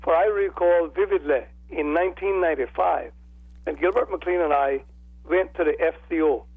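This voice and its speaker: Croaky older man phoning in. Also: a little high, darkish tone, no room sound. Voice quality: croaky, raspy. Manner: deliberate, formal, oratorical phrasing. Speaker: radio phone-in caller. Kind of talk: measured political commentary. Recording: telephone call into radio show.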